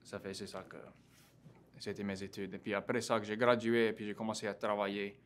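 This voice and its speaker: A young man in his twenties speaks with a Cajun French accent in a casual interview. His voice sits a little low and stays flat and even. He talks haltingly, with many fillers and restarts. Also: a little hiss or hum. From Louisiana. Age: 20s. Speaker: interview guest, graduate student.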